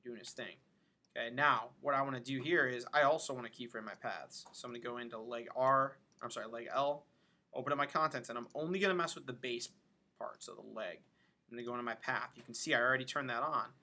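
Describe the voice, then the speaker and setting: A man giving an informal software tutorial, thinking aloud as he demonstrates each step. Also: mid-range voice, a little fast. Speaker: animation instructor. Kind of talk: casual screen-recorded tutorial narration.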